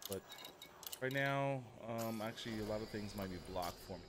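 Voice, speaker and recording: A man's voice: lowish voice, moderate pace. A man livestreaming a game, chatting casually in a laid-back, rambling way. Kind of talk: casual livestream chat over gameplay. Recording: loud engine and game ambience noise. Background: loud spaceship game ambience.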